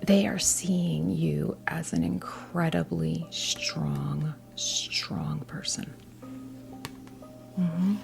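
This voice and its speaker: A woman in her fifties speaks in a hushed, breathy whisper that slips into heavy vocal fry. Her voice sits fairly low and she reads tarot in a soothing ASMR style, with frequent pauses. Music plays behind her. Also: lively intonation. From New Jersey, United States. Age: 50s. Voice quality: breathy whisper mixed with soft creaky voice. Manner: slow, halting, pausing often, reassuring. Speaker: ASMR performer giving a tarot reading. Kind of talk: whispered ASMR role play, unscripted tarot reading.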